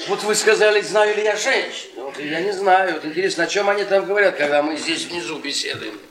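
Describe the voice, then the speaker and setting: Radio drama: man as mocking middle-aged academic, tipsy and bitter, high-pitched delivery, recorded at a distance. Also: a little fast, a crowd in the background, close to an everyday voice. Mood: needling. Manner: sardonic, drawling, needling questions. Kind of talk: Russian radio theatre acting. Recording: echoey stage-like room, distant mic.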